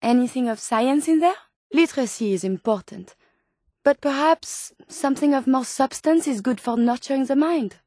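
A woman's voice: high voice, moderate pace, dark tone, dry recording, studio quality. An actress voicing a polite, earnest, curious female medical robot companion in a video game, with formal, precise, quaint phrasing.

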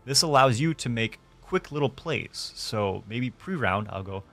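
A young man hosting a gaming tutorial, explaining things clearly step by step from his streaming room. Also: medium pitch, animated, measured pace, sharp tone, soft background music. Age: young adult. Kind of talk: tutorial narration. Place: small indoor room streaming setup.

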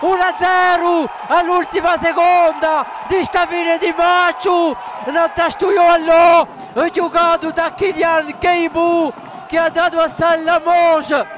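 A man calls a football match live in a very high-pitched voice. He is excitable and openly partisan, repeating phrases as he follows play. Crowd and stadium noise sit behind him. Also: slow. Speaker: football radio commentator.

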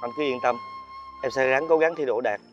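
Guy acting earnest young student, talks fast, mid pitch, music behind, dull audio. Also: natural voice. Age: young adult. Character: earnest young scholar, dutiful younger brother. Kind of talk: folk-tale TV drama acting. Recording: dull sound under music.

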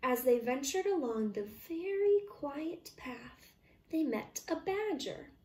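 A young woman teacher reading a children's storybook aloud in an expressive, deliberate way. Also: high voice. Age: young adult. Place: small living room at home, indoors. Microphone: camera a short distance away. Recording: roomy, echoey, distant microphone.

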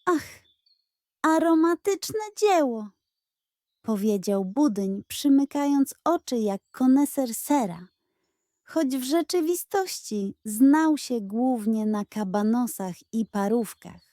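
Slow, mellow woman storyteller. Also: a little high, no room sound, clean studio sound. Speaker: children's story narrator. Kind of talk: bedtime storytelling, scripted narration.

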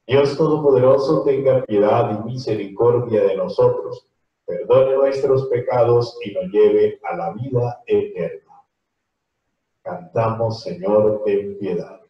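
Priest, solemn liturgical delivery, dull lo-fi echoey recording. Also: male voice, on the low side. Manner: slow, solemn liturgical recitation. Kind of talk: leading mass liturgy. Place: small chapel with altar and crucifix. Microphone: handheld microphone. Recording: echoey, distant, dull sound.

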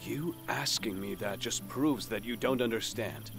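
An actor playing a weary, grim assassin in an audio drama, speaking in a serious, measured, admonishing way. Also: male voice, fairly low pitch, music in the background. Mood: grim warning. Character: weary, grim male assassin, bluntly cautionary.

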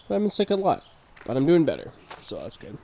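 Brief: young man, a touch high, stuffy nose, casual walk-through vlog, faint outdoor hum behind. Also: quick pace. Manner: relaxed, rambling, easily amazed. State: stuffed up from a cold. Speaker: gardening channel host. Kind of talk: casual garden tour vlog. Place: backyard garden outdoors at dusk. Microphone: handheld camera mic, filming himself walking.

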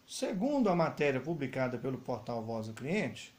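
A man's voice: mid-range voice, big pitch swings, moderate pace, darkish tone. A man giving news commentary, explaining as he points through web pages. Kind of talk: screen-recorded news commentary. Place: voice-over over screen recording.

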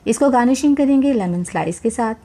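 A woman's voice: fairly high pitch, expressive, fast talker. A woman hosting a cooking channel, talking viewers through a recipe in a chatty voice-over, often adding blessings as she works. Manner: warm, chatty, repeats religious blessings while cooking; addresses viewers directly, encouraging. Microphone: voice-over, speaker not seen.

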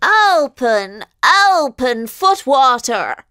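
High-pitched horned witch, menacing, imperious, loud, slow, storybook villain. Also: very animated, clear, resonant tone, no echo, heavily acted. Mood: screaming demand. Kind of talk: children's storybook reading.

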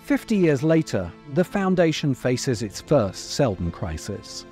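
Mellow middle-aged man narrating over music. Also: medium pitch, very animated, measured pace. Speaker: video essayist and host. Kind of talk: scripted narration, commentary. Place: open-sided covered outdoor space. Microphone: desk microphone close in front.

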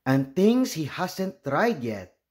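A man from the Philippines reads a picture book aloud as a storyteller. He takes his time over each line, and his pitch moves up and down a great deal. He plays out dialogue and sound words expressively. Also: big pitch swings, takes it slowly, darkish tone. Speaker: children's storybook reader and host.

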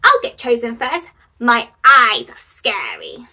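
A woman voicing a boastful, scary storybook pumpkin in a loud, drawn-out way. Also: on the high side, huge pitch swings, full character voice.

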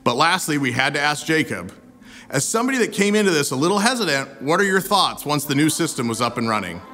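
High, animated male video host. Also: quick pace, in a large hall. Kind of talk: scripted presenter narration. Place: indoor set with wood-slat wall. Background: music bed.

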